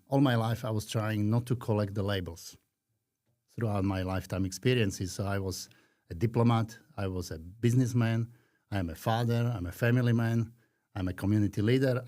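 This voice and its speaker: A man in his fifties from Slovakia with a Slovak accent, talking earnestly and reflectively as a podcast guest, with frequent pauses. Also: fairly low pitch, warm tone. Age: 50s. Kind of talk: conversational interview.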